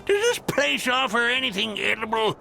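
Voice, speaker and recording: A man's voice: extremely high pitch, very animated, moderate pace, creaky, sharp tone, faint music behind, heavily acted. A man playing a snooty, reluctant restaurant customer in a cartoon game. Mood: reluctant, wary.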